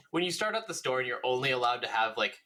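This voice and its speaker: Man, bright forward voice, mid pitch, casual podcast co-host, informal, chuckling reactions. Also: big pitch swings, fast talker. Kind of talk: casual reactive gaming chat.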